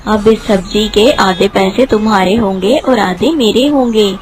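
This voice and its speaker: A woman's voice: medium pitch, a little slow, slight background noise, pitched below the performer's own voice, lightly acted. A woman voicing a kind, fatherly male vegetable seller who speaks reassuringly in a children's cartoon story. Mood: kindly, reassuring.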